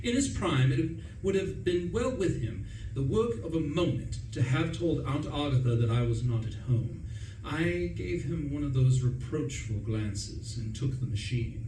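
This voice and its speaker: Man playing a high-pitched, breezy English gentleman. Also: lively intonation, unhurried. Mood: breezy, self-satisfied. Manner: pompous, chatty old-fashioned slang. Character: flustered, breezy upper-class young English gentleman, narrating. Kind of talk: theatrical radio play narration and dialogue. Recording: roomy, distant stage recording with faint noise.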